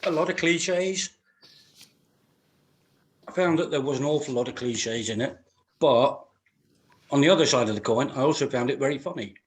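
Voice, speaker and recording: A man's voice: mid-range voice, moderate pace, pauses often. A man giving a casual critique as an actor on a reading panel.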